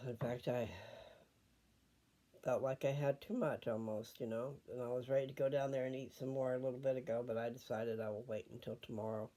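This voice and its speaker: An older man chatting casually and reading scripture at home, unhurried and wandering as he thinks aloud. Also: mid-range voice, mellow.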